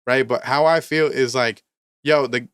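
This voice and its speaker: A thirty-year-old man co-hosting a podcast, pushing his point hard in a steady mid-range voice. He talks with heavy swearing and motivational emphasis, and his voice sounds smooth and resonant on a dry close mic. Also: mid-range voice, unhurried, dry recording. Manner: emphatic, profane, preachy motivational rants. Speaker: podcast co-host, rapper. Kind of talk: casual podcast chat.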